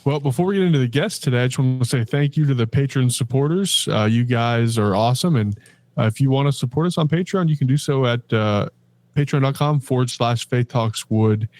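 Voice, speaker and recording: A man's voice: medium pitch, fairly quick, darkish tone, dry recording. Chatty man rambling through his day. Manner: rambling, chatty storytelling with many fillers. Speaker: podcast co-host. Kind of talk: casual chat.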